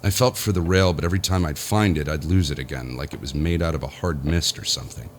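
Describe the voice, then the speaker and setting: A man's voice: very deep voice, fast talker, slight background noise. An actor playing a powerful, nervous businessman who talks to himself in a rambling, sardonic way, narrating an audio horror drama. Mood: wry, uneasy. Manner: rambling self-talk, sardonic, jittery. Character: powerful businessman, alone, nervous, self-mocking, slowly unravelling. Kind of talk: first-person audio horror monologue.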